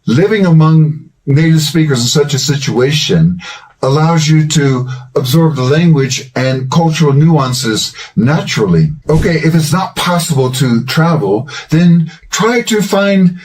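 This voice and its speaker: An older man hosting a language-learning channel, giving scripted advice in a measured, earnest and encouraging way. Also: fairly high pitch, expressive, a little mellow, poor audio.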